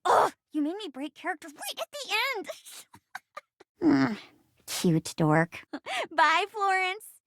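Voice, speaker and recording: An actress voicing a dorky, playful young woman who loves amateur theater, a video game character with an expressive manner. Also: extremely high pitch, takes it slowly, long pauses, full character voice. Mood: casual, teasing. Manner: expressive, dramatic, slips between stagey and casual.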